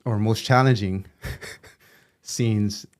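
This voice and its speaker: A man's voice: on the low side, fairly even intonation, drawn-out words. Laughing male podcast host, rambling questions. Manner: warm, laughs often, long rambling questions. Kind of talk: casual interview chat.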